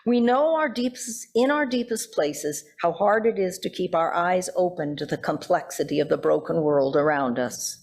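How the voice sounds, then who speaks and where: Woman preaching a deliberate, emphatic sermon. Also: lowish voice. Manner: deliberate, emphatic phrasing with rhetorical questions.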